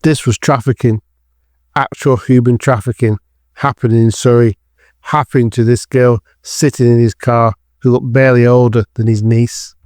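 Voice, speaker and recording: A man's voice: mid-range voice, fairly even intonation, moderate pace, darkish tone, no echo, studio quality. A man narrating a scripted dramatic thriller as the storyteller of an audio drama.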